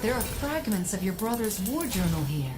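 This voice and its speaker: A woman voicing a calm, wise guide in a video game, composed, deliberate and instructive, with an echoing sound. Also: medium pitch, lightly acted. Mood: composed, purposeful. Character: calm, wise female guide, a sentient sanctum advising a warrior. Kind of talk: video game cutscene acting. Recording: echoing voice over game music.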